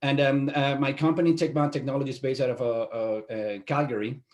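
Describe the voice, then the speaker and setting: A middle-aged man from Venezuela with a Spanish accent, a guest CEO answering interview questions in a halting way full of fillers and restarts. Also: mid-range voice, fairly even intonation, a little mellow.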